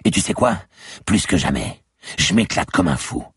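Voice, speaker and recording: A man's voice: low voice, animated, normal speed, husky, dry recording, clean studio sound, pitched above the performer's own voice, heavily acted. A man voicing a scrawny, sleazy, cowardly sex-shop owner with a crude, mocking, whiny manner in an audiobook. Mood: defiant, boastful.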